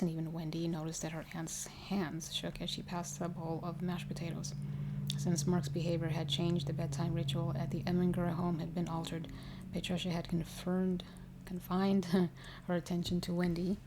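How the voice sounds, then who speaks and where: A woman reading a story aloud in ASMR style, soft-spoken and drifting into whispers. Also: lowish voice, background noise. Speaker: reader of paranormal stories for ASMR.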